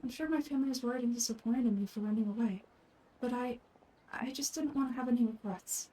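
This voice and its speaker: Woman acting frail young woman, fantasy game, gentle, wistful, soft-spoken, mellow, music behind. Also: fairly high pitch, lightly acted, poor audio. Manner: soft, measured, earnest, wistful.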